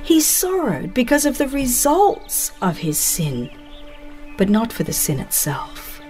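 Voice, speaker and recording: A woman's voice: a little high, huge pitch swings, slow, darkish tone, soft background music. A woman narrating a religious book in a solemn, formal, dramatized reading. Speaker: audiobook narrator.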